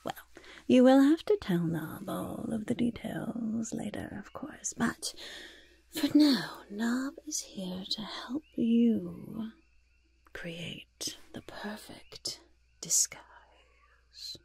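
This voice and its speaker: A woman playing a sly, fussy goblin master of disguise in a hushed, breathy ASMR roleplay, speaking deliberately and close to the microphone. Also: fairly high pitch, expressive, exaggerated voice. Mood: smug, conspiratorial. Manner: slow, deliberate, refers to self in third person. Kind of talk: ASMR roleplay, soft-spoken whisper.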